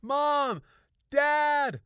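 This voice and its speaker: A man voicing a frightened, insistent young girl in a children's horror audiobook, shrill, panicky and breathless. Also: lively intonation, drawn-out words, a bit bright, no echo, studio quality, heavily acted. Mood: frantic.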